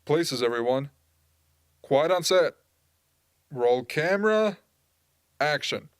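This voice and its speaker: A man's voice: on the high side, lively intonation, takes it slowly, smooth, no room sound. A man playing a businesslike propaganda director giving orders in an amateur radio drama. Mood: commanding.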